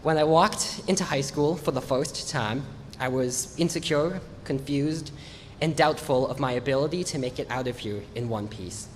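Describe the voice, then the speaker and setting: A teenage boy, a bit congested from allergies, delivers his prepared speech at a measured, earnest pace. His mid-pitched voice carries through a big reverberant hall over a faint background hum. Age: teens. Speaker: graduating student speaker. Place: large hall or gym, on stage. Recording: echoey hall with faint noise.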